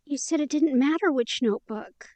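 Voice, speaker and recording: An actress playing a caring, candid young woman in an intimate audio drama, speaking in a casual, gently encouraging way. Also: high-pitched, normal speed, dry recording, natural voice. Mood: candid, teasing.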